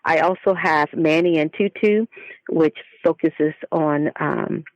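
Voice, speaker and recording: A woman's voice: sits low, slow, no echo, low-quality recording. A woman speaking as a children's author in an interview, explaining things thoughtfully in a conversational way.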